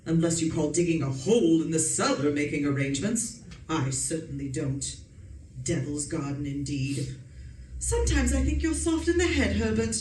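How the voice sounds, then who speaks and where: A woman's voice: lowish voice, a little mellow. A woman playing a bossy, scolding middle-aged wife in an old-time radio suspense play. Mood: exasperated. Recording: roomy, distant mic, faint noise.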